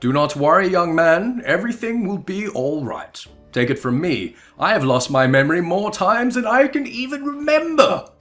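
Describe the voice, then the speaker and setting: A man voicing a pompous, oblivious gentleman detective from a video game in florid, theatrical declamation. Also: high voice, lively intonation, moderate pace, few pauses, faint music behind, pitched above the performer's own voice. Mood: self-assured. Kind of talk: dramatic reading of video game dialogue.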